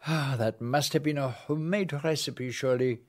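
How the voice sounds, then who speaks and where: An actor playing a fussy, formal elderly Belgian detective with a French accent, in a radio play. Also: male voice, medium pitch, moderate pace, lightly acted. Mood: polite. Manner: formal, precise, polite.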